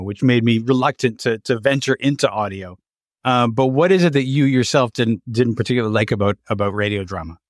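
A man's voice: mid-range voice, no room sound, clean studio sound. A man with a Canadian accent hosting a theater podcast, moving between scripted introductions and conversational interviewing.

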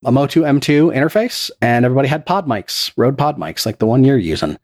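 A man's voice: mid-range voice, lively intonation, quick pace, a little mellow. A man who produces a podcast and runs its game, answering interview questions in an earnest, explanatory way with a few restarts, speaking into a podcast microphone. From Texas.

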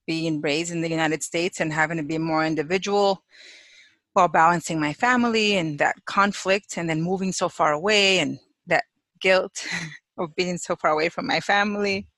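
A woman from Chicago talks in a bright, forceful voice, recorded dry and close with no room around her. She laughs easily and pauses to think, filling gaps with soft fillers. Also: lowish voice, fairly even intonation, no room sound. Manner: warm, laughs often, thoughtful pauses with fillers. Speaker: guest, clinical psychologist and sex therapist. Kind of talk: casual interview chat. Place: home office in Hawaii.

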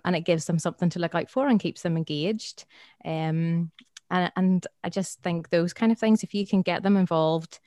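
A woman's voice: fairly low pitch, darkish tone, no room sound, studio quality. A woman with a Northern Irish accent, a schools worker guest, giving practical tips in a friendly podcast chat with many ums.

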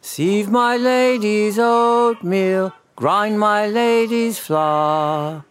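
A man's voice: extremely slow, smooth, soft background music. A man voicing merry, teasing little storybook mice who chant nursery rhymes in a small, sing-song voice. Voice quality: small shrill twittering voice.

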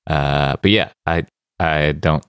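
A man's voice: extremely low pitch, steady tone, takes it slowly, fairly bright tone, clear, resonant tone, dry recording, studio quality. A man with a nasal voice on a casual podcast, making wry, laughing asides. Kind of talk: casual banter.

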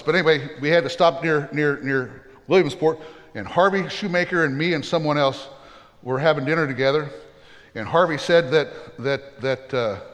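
A pastor preaching in a high voice, earnest and plainspoken, with folksy humorous stories. His voice rings with a long reverb, as if in a church sanctuary. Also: a bit bright. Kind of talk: sermon.

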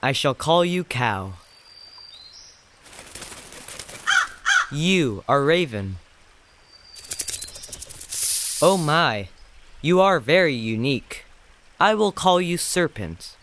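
Man as innocent first man, higher-pitched, very animated, earnest, Bible audio drama. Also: measured pace, a little hiss or hum. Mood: wondering. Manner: earnest, expressive.

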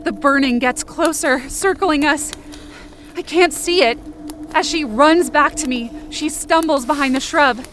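High-voiced, frightened girl played by a woman. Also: a little slow, fairly bright tone, a little hiss or hum. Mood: panicked, desperate. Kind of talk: first-person dramatic audio fiction narration.

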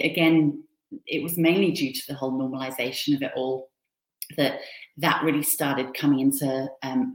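A woman from Switzerland speaks as an interview guest, telling her recovery story. She sounds open and reflective, and her voice sits low. The room around her is echoey. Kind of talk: candid personal recovery story. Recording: roomy echo.

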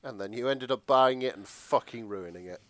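A man's voice: mid-range voice, a little fast, a bit bright, no echo. A British man co-hosting in casual, teasing banter, full of jokes. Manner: joking, teasing. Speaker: podcast co-host.

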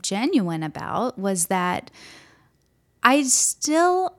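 Young woman, pastor, soft gentle voice, unhurried, slight vocal fry, hesitant ums. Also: mid-range voice, sing-song. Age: young adult. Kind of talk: interview conversation.

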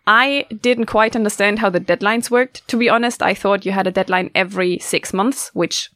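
A woman in her twenties from Germany, hosting a casual student radio chat, talking fast and loose with frequent laughs and restarts. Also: mid-range voice, fairly bright tone, dry recording, clean studio sound. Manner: chatty, laughs often, restarts sentences.

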